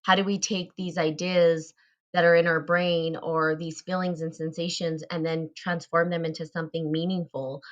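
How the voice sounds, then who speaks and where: A woman's voice: lowish voice, level tone, moderate pace, highs cut off. A woman hosting a casual live online show, introducing a guest in an upbeat, enthusiastic way.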